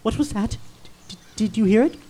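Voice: sits high, lively intonation, unhurried, noisy background. An actor playing a timid, pompous, superstitious schoolmaster in a theatrical audio drama, florid and melodramatic, given to stammering. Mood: terrified. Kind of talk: theatrical audio drama of a classic ghost story.

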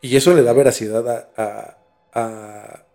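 A man with a Mexican accent telling a story casually as a podcast host, informal and halting as he searches for words. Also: mid-range voice, steady tone, creaky, mellow, soft background music.

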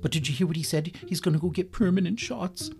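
A performer voicing a dim, friendly, excitable young male dog with a hesitant, goofy way of talking. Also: mid-range voice, fast talker, creaky, voice pushed up, natural voice. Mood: puzzled. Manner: hesitant, goofy, full of ums and exclamations.

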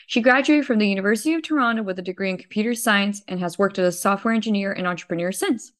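A woman's voice: medium pitch, fast talker. A woman hosting an interview podcast, asking questions in a friendly, upbeat way. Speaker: podcast host.

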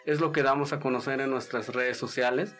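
A man who grew up speaking Wixárika gives conversational interview answers as an activist. His voice sits at an average pitch. Music plays behind him. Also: fairly even intonation, a little fast, a bit bright. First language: Wixárika (Huichol).

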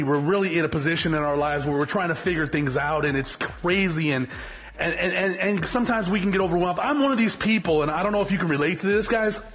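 A man's voice: sits high, fairly bright tone. A man in his forties giving an unscripted motivational talk to his viewers. He talks in a blunt, fiery way, swears freely and often restarts his sentences. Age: 40s. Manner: rambling, repetitive, frequent swearing and restarts. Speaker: motivational vlogger, business owner.

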